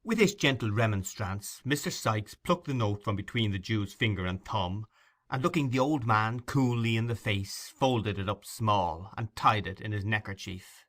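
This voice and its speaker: Bright-voiced male audiobook narrator. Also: fairly low pitch, moderate pace. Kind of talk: scripted literary narration.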